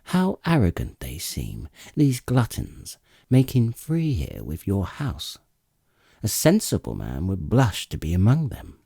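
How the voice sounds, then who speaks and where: Assured goddess in seafarer's guise male reader, epic audiobook; slow, declamatory, widely swooping intonation. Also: sits low, huge pitch swings, gravelly, dark tone, slightly rough, no echo, studio quality, heavily acted. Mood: probing, disapproving. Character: grey-eyed goddess disguised as a seafaring lord, assured, questioning. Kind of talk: dramatic epic audiobook reading.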